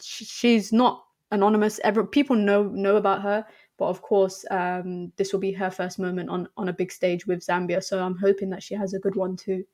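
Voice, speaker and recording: Woman chatting football, flat delivery. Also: mid-range voice, moderate pace. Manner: chatty, many ums and restarts. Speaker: podcast guest. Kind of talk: casual football chat.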